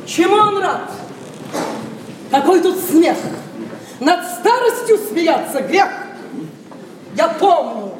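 A haughty old noblewoman of sixty-five from a classic verse comedy. She grumbles bluntly and slowly, swinging widely in pitch, in a big reverberant hall. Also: heavily acted. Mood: indignant. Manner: haughty, theatrical, drawn-out exclamations. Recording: echoey noisy hall, distant microphone.

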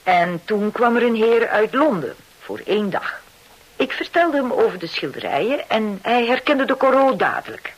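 A woman's voice: a little high, big pitch swings, moderate pace, low-quality recording. A woman acting in a Dutch radio drama, playing a shrewd, self-satisfied hotel owner who tells her story with knowing theatricality. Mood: smug storytelling. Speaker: actor in a radio play.

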